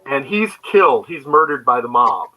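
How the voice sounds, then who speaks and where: A man speaking as a creator guest in an interview on an online call, telling stories conversationally in a halting way. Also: medium pitch, very animated, sharp tone, poor audio. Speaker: guest, comic book creator. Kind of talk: conversational interview answers, storytelling. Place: remote online video call.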